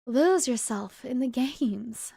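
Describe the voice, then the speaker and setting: Lady doing a creepy glitchy game girl, highish, slow n taunting, wants to keep u forever. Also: expressive, gravelly, mellow, breathy edge, no room sound, studio quality, heavily acted. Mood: coaxing.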